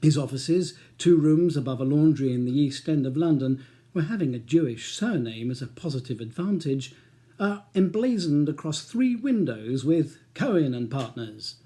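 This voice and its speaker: A male author narrates his crime novel in scripted audiobook style. His voice is a little higher than average for a man and very mellow, with soft edges. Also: animated, normal speed. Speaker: author reading own audiobook.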